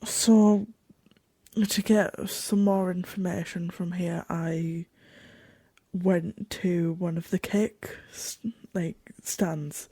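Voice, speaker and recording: A woman playing a curious, chatty traveller in an audio drama diary, soft and husky, with hesitant, informal phrasing and dry humour. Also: fairly low pitch, level tone, drawn-out words, no room sound, exaggerated voice. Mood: fond, curious. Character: chatty, curious female traveller journaling a strange new world.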